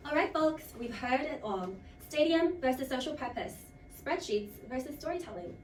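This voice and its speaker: A woman's voice: high-pitched, expressive, moderate pace, slight background noise. A woman hosting a student role play like a game show, with a playful, jokey, theatrical scripted delivery. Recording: roomy, distant mic, loud music.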